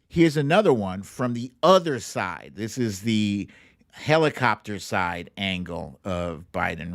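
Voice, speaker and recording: A man's voice: deep voice, no room sound, clean studio sound. A man hosting a casual political commentary livestream in a chatty, incredulous, amused way.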